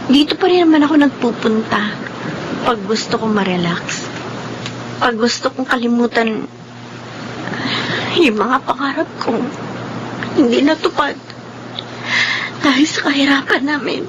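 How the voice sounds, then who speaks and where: An actress playing a humble, wistful young rice-cake seller in a Filipino audio drama, polite and plaintive, with a Filipino accent. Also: female voice, sits high, animated, unhurried, pauses often, highs cut off, background noise. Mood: hurt, wistful.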